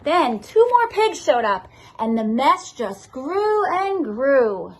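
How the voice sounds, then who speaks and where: High, animated woman reading a storybook. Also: slow, rough recording. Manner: expressive, addressing children directly. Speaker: school assistant principal reading to pupils. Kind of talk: children's storybook read-aloud.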